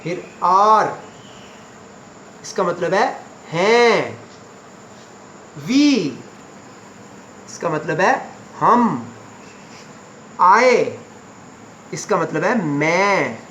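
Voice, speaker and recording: A man teaching spoken English to beginners, going word by word and repeating each meaning slowly. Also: sits high, animated, bright, forceful tone, reverberant, slight background noise. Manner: slow, repetitive word-by-word explanation.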